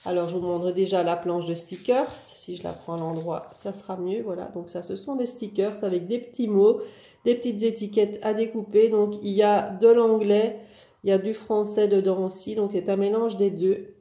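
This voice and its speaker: A woman with a head cold talks through new paper collections for her online craft shop, chatty and full of fillers. Her voice is very dark and mellow. The room adds a noticeable echo. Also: mid-range voice, little pitch movement, normal speed. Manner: chatty, many "euh" and "donc" fillers. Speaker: online craft shop owner presenting products. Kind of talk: casual product showcase.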